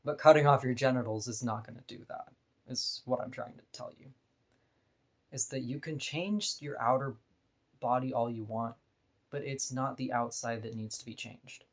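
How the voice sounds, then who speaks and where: A woman with a deep voice, talking bluntly and frankly in a candid personal vlog monologue, laughing now and then between points. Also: fairly even intonation, moderate pace. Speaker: vlogger.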